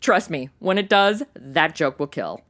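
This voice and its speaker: A woman playing an irreverent, slangy American sidekick in a comedy audio drama, expressive and flippant. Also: deep voice, unhurried.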